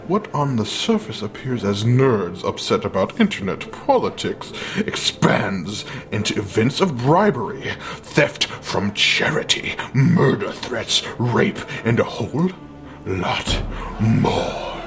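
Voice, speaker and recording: A man's voice: on the low side, sing-song, slow pace, a bit bright. A man giving a dramatic reading of a manifesto, with choral music behind. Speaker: reader. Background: dramatic orchestral choral music.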